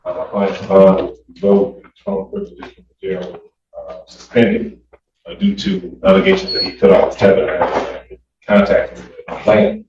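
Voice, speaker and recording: A man speaking as a defense attorney, arguing to a judge in a hesitant, deferential way with many halting pauses. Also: high voice, very animated, creaky, background noise. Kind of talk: courtroom argument to a judge. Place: courtroom. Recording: echoey, muffled, distant courtroom audio.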